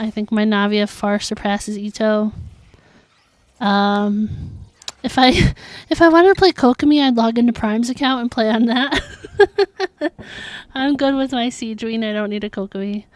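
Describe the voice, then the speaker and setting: A woman's voice: a little high, darkish tone, no room sound. A woman chatting casually and informally while streaming a video game, reacting to viewers as she plays. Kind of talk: casual livestream chat while gaming.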